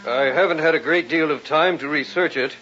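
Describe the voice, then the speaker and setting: A man's voice: on the high side, brisk, sharp tone. An actor playing an insistent, businesslike male museum researcher, precise and formal, in an old-time radio drama. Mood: analytical. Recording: muffled vintage radio, faint noise.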